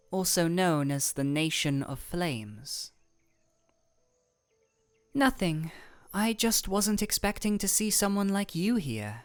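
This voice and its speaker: A woman playing an aloof, regal ice elemental royal in a scripted fantasy audio roleplay, speaking in a formal, measured, deadpan way. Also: on the low side.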